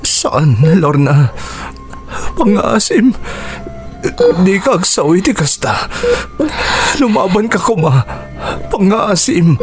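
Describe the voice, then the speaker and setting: Desperate loving husband, radio melodrama, tearful pleas with lively pitch swings. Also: male voice, slow, long pauses, music in the background, exaggerated voice. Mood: desperate pleading. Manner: pleading, emotional. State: distraught, tearful. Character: desperate, loving doctor husband refusing to lose wife. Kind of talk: melodramatic Ilocano radio drama acting.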